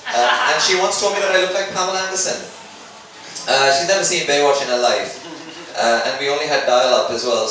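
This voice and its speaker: A man's voice: medium pitch, fairly bright tone. Echoey self-deprecating male stand-up comedian. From Sri Lanka. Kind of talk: live stand-up comedy routine. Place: indoor live comedy event. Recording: very echoey room, distant mic, background noise.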